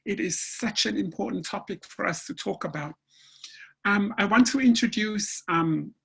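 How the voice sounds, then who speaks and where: Woman from samoa, moderator, husky voice, emotional, lots of ums, video call audio. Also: lowish voice. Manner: warm, emotional, many fillers. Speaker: panel moderator. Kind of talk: moderating an online seminar.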